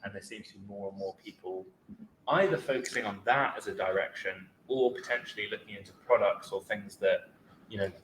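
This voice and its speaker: A man's voice: lowish voice, fairly quick, fairly bright tone. A man hosting a podcast, asking questions in a chatty, loose way. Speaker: podcast host. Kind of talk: casual podcast interview. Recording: roomy with faint background noise.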